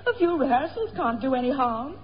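High, animated young daughter. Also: female voice, a little fast, crowd noise. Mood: coaxing, lively. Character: encouraging young grown-up daughter. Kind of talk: 1960s radio sitcom acting. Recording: muffled old radio show, hall, audience.

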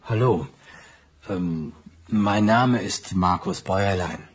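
An actor playing a separated husband being interviewed, with a husky voice, for a textbook recording. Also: male voice, low-pitched, takes it slowly, exaggerated voice.

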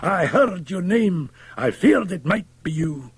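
Man acting a husky, bitter old drunkard, pitched up. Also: sing-song, takes it slowly, no room sound, exaggerated voice. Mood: furious, anguished. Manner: declamatory, scornful. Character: bitter, drink-sodden old Scotsman, fierce and defiant. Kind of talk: dramatized audiobook of a gothic tale.

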